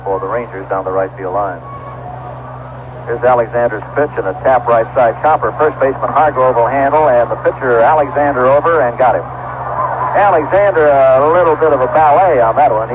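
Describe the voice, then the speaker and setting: A man calling baseball play-by-play live on a noisy, tinny 1970s radio broadcast. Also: mid-range voice. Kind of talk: live radio sports commentary. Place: ballpark broadcast booth.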